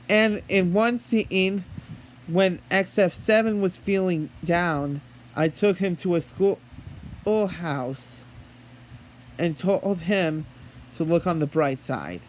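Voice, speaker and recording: A man talking in an earnest, halting way, as if holding a one-sided phone conversation. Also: fairly high pitch, a little hiss or hum, low-quality recording. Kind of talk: one-sided pretend phone call, rambling monologue.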